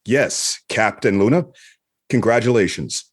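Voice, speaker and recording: A man acting a calm, benevolent space admiral with a husky voice and a reassuring manner in an audio drama. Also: fairly low pitch. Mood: reassuring, congratulatory. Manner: measured, reassuring.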